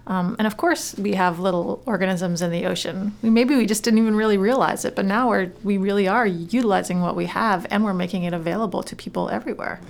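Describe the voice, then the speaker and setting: A woman's voice: medium pitch, fairly even intonation, quick pace, vocal fry, few pauses. A woman hosting a talk show, interviewing in a conversational style.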